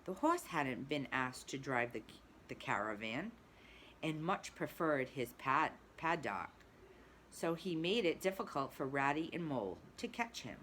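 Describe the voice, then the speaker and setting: A woman's voice: deep voice, sing-song, unhurried, fairly bright tone, slight background noise. A woman who teaches preschool, reading a storybook aloud expressively and adding asides to the children. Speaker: pre-K teacher.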